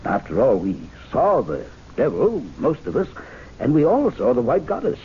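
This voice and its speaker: A reflective, formal club gentleman speaks in a radio mystery play. He is measured in wording but lively in intonation. The recording is dull and slightly noisy. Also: medium pitch. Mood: sober, doubting. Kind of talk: radio mystery drama acting.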